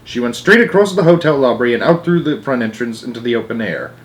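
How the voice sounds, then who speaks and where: A man narrating a children's storybook aloud in an animated read-aloud style. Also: medium pitch, fast talker.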